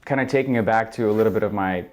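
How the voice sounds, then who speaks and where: Man, 20s, from Ukraine, low voice, thoughtful interview guest. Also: racing, a little mellow, faint music behind. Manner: earnest, thoughtful, with frequent ums. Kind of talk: reflective interview answers. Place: indoor studio.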